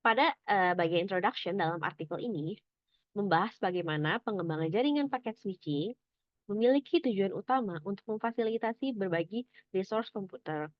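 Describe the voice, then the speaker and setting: A young woman giving a scripted academic presentation as a student, in a measured, explanatory, somewhat halting manner. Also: mid-range voice, lively intonation, dry recording, lo-fi sound. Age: young adult.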